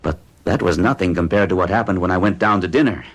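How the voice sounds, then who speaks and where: A man's voice: sits low, fast talker, breathy edge. An actor playing a bewitched middle-aged bachelor in an old-time radio drama, speaking huskily with intense, hesitant confessional delivery. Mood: haunted, confessional. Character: forty-one-year-old orderly bachelor, bewitched and bewildered.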